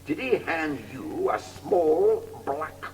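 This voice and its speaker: A man playing a pompous, sinister gentleman gang leader in an audiobook drama, unctuous and theatrical, in a reverberant hall. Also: fairly high pitch, expressive, slow pace. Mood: pompous chiding. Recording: reverberant hall, distant from mic.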